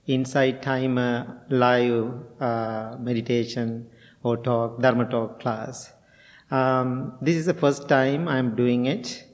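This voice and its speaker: Slow, gentle monk from Sri Lanka. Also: male voice, mid-range voice, in a large hall. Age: middle-aged. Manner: slow, gentle, with frequent pauses and fillers. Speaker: Buddhist monk and meditation teacher. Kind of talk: guided meditation and dharma talk.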